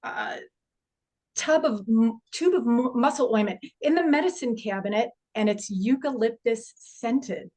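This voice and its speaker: A woman plays a middle-aged forensic investigator in a horror film script. Her voice is a touch high. She is matter-of-fact and wry, speaking in a hesitant, conversational way. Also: a little slow, darkish tone. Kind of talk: actor's table read of an indie horror film.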